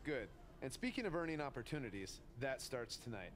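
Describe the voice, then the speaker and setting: An actor playing a firm, welcoming wrestling boss in a video game, businesslike and encouraging. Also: male voice, medium pitch, big pitch swings, fairly quick, fairly bright tone, slight background noise. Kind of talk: video game cut-scene acting.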